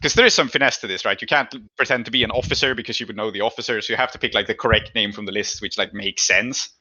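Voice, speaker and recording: A man's voice: on the low side, fast talker, bright, forceful tone, dull audio. A man acting as game master, narrating scenes and explaining rules while checking in with players.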